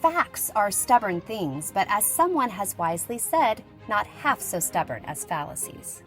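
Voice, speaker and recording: A woman playing a lively young schoolteacher reading out her letter in a conversational, wryly humorous way, in an acted audiobook. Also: mid-range voice, unhurried. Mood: wry griping. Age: young adult. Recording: music playing behind the voice.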